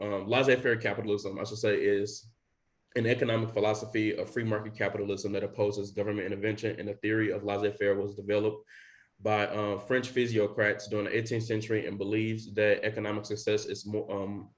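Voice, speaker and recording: A young man hosting a podcast in casual chat, rambling with lots of fillers. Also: lowish voice. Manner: rambling with many likes and you knows. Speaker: podcast host.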